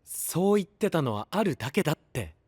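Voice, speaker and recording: A man's voice: on the high side, big pitch swings, brisk, a little mellow, breathy edge, no room sound. A man playing a friendly, observant, easygoing young man in an anime voice drama, with casual wording. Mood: curious.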